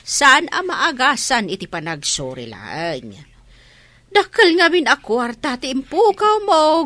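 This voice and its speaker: A woman's voice: a little high, bright, forceful tone, no room sound, exaggerated voice. A woman playing a middle-aged, scolding mother in a radio comedy-drama, nagging and reproachful, with an Ilocano Filipino accent. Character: scolding, exasperated older mother of grown children.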